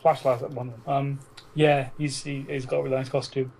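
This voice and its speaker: A man's voice: medium pitch, level tone, normal speed, creaky, a little mellow, rough recording. A British man co-hosting a podcast in casual, teasing, sweary banter with a friend. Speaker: podcast co-host.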